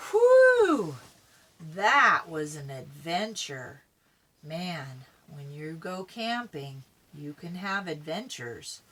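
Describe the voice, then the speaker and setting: An older woman, a grandmother, reading a children's story aloud in an animated, dramatic way. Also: low-pitched, very slow.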